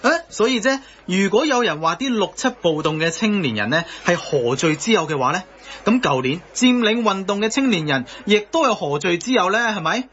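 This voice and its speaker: A man's voice: high-pitched, expressive, fairly quick, a bit bright, highs cut off. An actor playing an outspoken, cheeky young man in a satirical radio drama, arguing colloquially with a Hong Kong Cantonese accent. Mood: sarcastic. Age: young adult. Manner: colloquial, argumentative.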